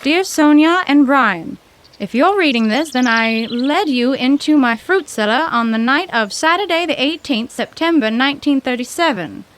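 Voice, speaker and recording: An actress playing a plucky, impatient young woman adventurer in a 1930s radio mystery, brisk and bossy. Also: sits high, lively intonation, a bit bright, roomy sound, slight background noise. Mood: grave reading. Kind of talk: 1930s radio drama acting.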